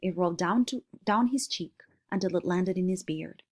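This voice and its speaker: A woman's voice: on the low side, moderate pace, a little mellow. A woman reading a children's novel aloud as a storybook narrator.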